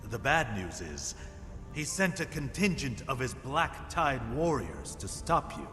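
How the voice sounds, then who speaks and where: An actor playing a playful, theatrical ally in a fantasy video game, with a teasing, animated delivery. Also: male voice, mid-range voice, a little slow. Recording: long reverb, music behind.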